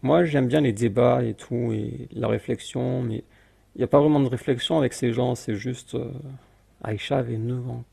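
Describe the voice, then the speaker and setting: A man's voice: medium pitch, fairly even intonation, moderate pace, vocal fry, warm tone, husky, no room sound. A man commenting on a video he plays, dismissive and mocking, often cutting in over the clip. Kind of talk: casual reaction commentary.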